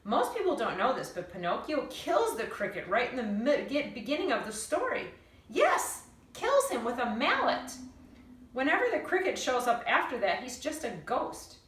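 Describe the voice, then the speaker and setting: Woman, bright voice, dramatic children's book narration, emphatic delivery, echoey distant recording. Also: high-pitched, moderate pace. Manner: animated, dramatic storytelling with emphatic words. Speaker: teacher reading aloud. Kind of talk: expressive children's novel read-aloud. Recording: roomy echo, distant mic.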